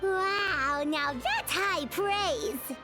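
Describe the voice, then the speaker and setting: A woman voicing a small, childlike fairy companion in a video game, excitable and blunt. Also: very high voice, drawn-out words, over loud music, full character voice. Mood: curious, chatty. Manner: excitable, refers to herself in third person.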